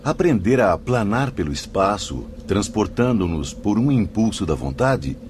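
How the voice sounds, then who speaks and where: An actor playing a calm male spirit student who talks conversationally in a radio drama. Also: fairly low pitch, unhurried, a little hiss or hum.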